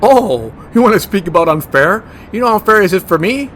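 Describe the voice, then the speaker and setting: Fussy higher-voiced monster hunter, acted by a man. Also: lively intonation, brisk, noisy background. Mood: indignant. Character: fussy germ-phobic monster hunter, male, stubborn and smooth-talking. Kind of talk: comedy horror audio drama acting.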